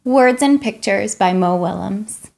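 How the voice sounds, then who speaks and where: A woman's voice: mid-range voice, unhurried, gravelly, darkish tone. A young adult woman presenting a children's read-aloud as storyteller. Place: small furnished room indoors.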